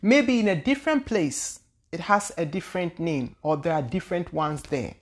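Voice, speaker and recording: A woman's voice: on the low side, expressive, normal speed. A woman from Ghana, hosting her own channel as a spiritual teacher and answering viewer questions in a loose, searching way that often repeats words and trails into hesitations. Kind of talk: casual spoken talk answering viewer questions.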